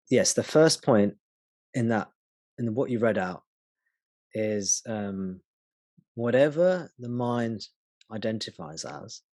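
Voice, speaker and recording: A man's voice: on the low side, a little mellow, no room sound. A man leading a satsang, explaining spiritual teachings patiently and thinking aloud as he goes.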